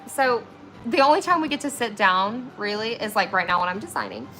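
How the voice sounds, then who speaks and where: Bright-voiced chatty woman in her twenties. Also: medium pitch, sing-song, a little fast, soft background music, low-quality recording. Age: 20s. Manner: chatty, filler-heavy, often checks in with "okay?". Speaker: flower preservation artist and livestream host. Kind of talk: casual livestream chat while working, reading viewer comments.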